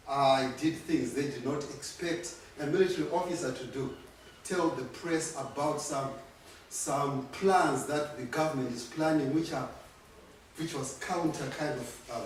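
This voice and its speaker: A man's voice: a little high, slight background noise. Zimbabwean man telling his story, roomy. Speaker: storyteller at a community workshop. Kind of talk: personal storytelling. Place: community centre room. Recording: echoey room, distant mic.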